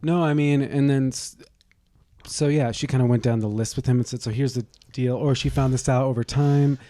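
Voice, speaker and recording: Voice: medium pitch, fairly even intonation, moderate pace, mellow, no room sound. A podcast co-host riffing casually, cutting in with wry, catty interjections.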